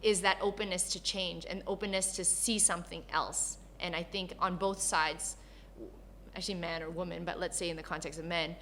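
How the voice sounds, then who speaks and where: A woman from Malaysia who grew up in Canada, talking casually as a podcast guest, laughing often and telling stories in an animated way. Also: fairly low pitch, normal speed, a little hiss or hum. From Malaysia, raised in Canada. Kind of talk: casual interview chat.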